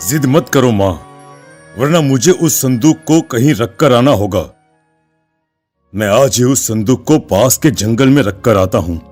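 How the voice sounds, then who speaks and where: Man acting a worried, protective father, mid-pitched. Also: lively intonation, a little slow, a little mellow, faint music behind. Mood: stern, worried. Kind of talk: animated story voice acting.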